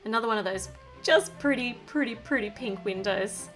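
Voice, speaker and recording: A woman's voice: a little high, normal speed, a bit bright, faint music behind, low-quality recording. A woman presenting a toy build in a chatty, playful, gushing way, talking straight to young viewers. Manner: talks to the viewer. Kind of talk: chatty build-along narration for children.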